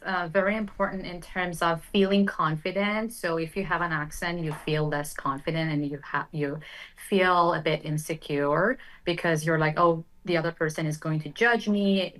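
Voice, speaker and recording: Lively low-voiced woman panelist. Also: poor audio. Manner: lively, laughs easily, quick to jump in. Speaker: panel guest. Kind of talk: panel discussion.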